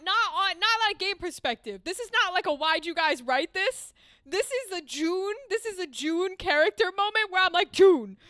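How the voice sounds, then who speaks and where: A young American woman streaming a game, reacting excitedly and swearing freely as she plays. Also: very high-pitched, dry recording, studio quality. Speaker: streamer playing games. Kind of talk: live game commentary.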